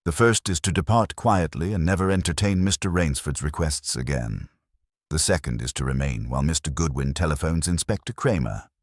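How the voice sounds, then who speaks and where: A man's voice: a bass voice, animated, fairly quick, no echo, studio quality. A man voicing an imperious, precise detective genius with a formal, pompous, commanding manner in a mystery story.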